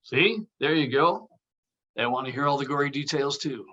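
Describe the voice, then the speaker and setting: A man's voice: mid-range voice, lively intonation, moderate pace, bright, forceful tone, muffled recording. A man playing a brash, teasing buddy in a comedy table read. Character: brash, teasing male buddy, inappropriate but quick to cover.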